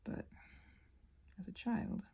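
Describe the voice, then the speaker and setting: Very soft, mellow woman's voice, rambling casually. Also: slow, whispered. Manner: self-deprecating, meandering, talks to herself. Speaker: craft and ASMR video creator. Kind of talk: soft-spoken casual rambling while painting.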